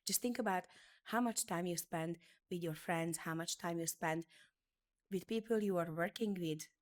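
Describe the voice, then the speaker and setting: Hungarian-born woman, native Hungarian speaker, motivational beauty educator, earnest, slightly low voice. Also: moderate pace. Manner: earnest, emphatic, repeats phrases for effect. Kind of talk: motivational talk to camera.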